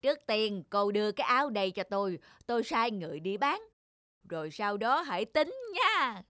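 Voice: mid-range voice, very animated, moderate pace, bright, forceful tone, no room sound, studio quality. An actor playing a greedy, callous male innkeeper in a cartoon, talking in a sly, mocking manner. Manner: sly, scornful.

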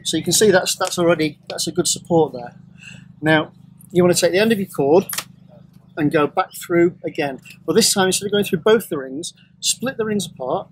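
A man's voice: on the high side, a little hiss or hum, poor audio. A middle-aged man casually demonstrating a hammock setup in woodland, talking in a hesitant way with many ums and restarts. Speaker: bushcraft show co-host. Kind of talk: hands-on outdoor demonstration. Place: outdoors in woodland by a tarp shelter.

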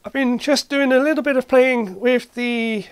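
Halting hobbyist demonstrating gear hands-on. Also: high-pitched, smooth, no room sound. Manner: halting, matter-of-fact, thinking aloud while working. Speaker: host, retro computer hobbyist. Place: indoor cluttered workshop.

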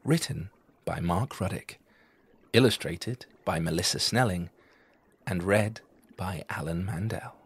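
A man reading a children's story aloud in a deliberate, theatrical storytelling manner. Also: sits low, breathy edge. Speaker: storybook reader. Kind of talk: expressive children's story narration.

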